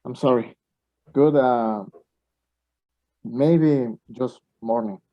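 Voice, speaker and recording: A man's voice: mid-range voice, very slow. A man acting an anxious, impatient, lovestruck young student in an amateur radio play, earnest and a little stilted. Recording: dull lo-fi home recording.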